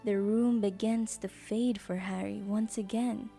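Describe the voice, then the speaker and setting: A woman's voice: medium pitch, steady tone, unhurried, creaky, mellow, faint music behind. A woman narrating an audio drama in a scripted storybook style.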